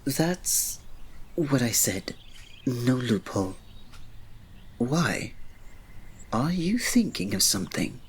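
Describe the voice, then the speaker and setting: A man playing a reserved, devoted royal servant in an ASMR romance roleplay, speaking softly, politely and formally with a breathy voice. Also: mid-range voice, very animated, slow, pauses often, a little hiss or hum, heavily acted. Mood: earnest, questioning. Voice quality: soft, breathy. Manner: soft, polite, formal, restrained, often dropping to a breathy murmur.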